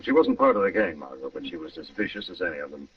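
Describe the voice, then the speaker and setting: A man acting a shrewd, composed gentleman detective in an old radio drama. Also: very deep voice, fast talker, husky. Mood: explanatory, assured. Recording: muffled old radio recording, distant and roomy.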